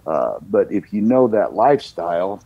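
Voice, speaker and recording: An older man from the United States, a singer-songwriter guest, telling his story in a relaxed, reflective way with many "you know" fillers. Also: sits low, moderate pace, highs cut off, slight background noise.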